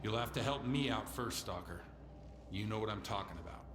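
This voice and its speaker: Actor as stern, hard-nosed faction commander. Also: male voice, lowish voice, background noise, close to an everyday voice. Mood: stern, menacing. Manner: measured, stern, transactional. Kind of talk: video game character dialogue.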